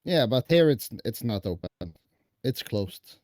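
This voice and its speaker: A man's voice: mid-range voice, animated, unhurried, darkish tone, no room sound, studio quality. A man from Norway in his thirties, chatting bluntly over a voice call while gaming. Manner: blunt, swears casually. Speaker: stream guest. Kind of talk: casual gaming chat.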